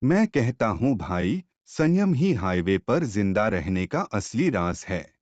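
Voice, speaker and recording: A man's voice: lowish voice, big pitch swings, takes it slowly, a little mellow, dry recording, lo-fi sound. A man voicing a sly, self-satisfied fox in a cartoon fable, explaining things in a self-righteous way. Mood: smug, advising.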